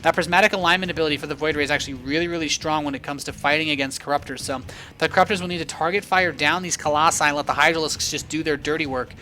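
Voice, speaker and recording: A man giving live, animated and joking play-by-play commentary on a video game match as an esports caster. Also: a little high, rapid-fire, slight background noise.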